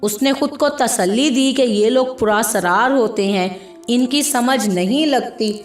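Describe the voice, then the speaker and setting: A woman reading a scripted moral story as a narrator, in a measured, dramatic storytelling manner. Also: on the high side. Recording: echoey voice with music behind.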